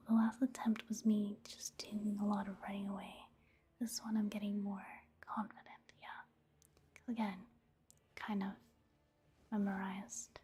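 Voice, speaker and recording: A woman speaking in a soft, breathy near-whisper, giving gentle, rambling ASMR commentary on a video game. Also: mid-range voice. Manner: unhurried, rambling, gentle murmur. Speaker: ASMR gaming video host.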